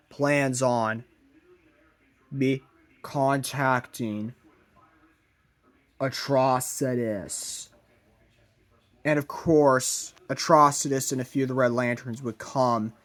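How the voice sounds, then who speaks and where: A man narrating a fan-made plot idea in a halting way, in short broken phrases. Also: medium pitch.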